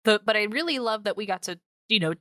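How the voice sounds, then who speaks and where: A woman's voice: mid-range voice, expressive, quick pace, fairly bright tone, no room sound, clean studio sound. A woman co-hosting a podcast in casual chat, telling things informally and interrupting herself as she goes. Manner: informal, self-interrupting storyteller. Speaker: podcast co-host. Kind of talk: casual chatty podcast talk.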